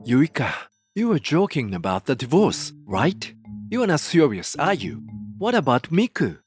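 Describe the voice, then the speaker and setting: Man acting a panicky, pleading husband with swooping pitch. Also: huge pitch swings, normal speed, faint music behind. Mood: pleading, alarmed. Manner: whiny, pleading, defensive. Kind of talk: manga dub acting.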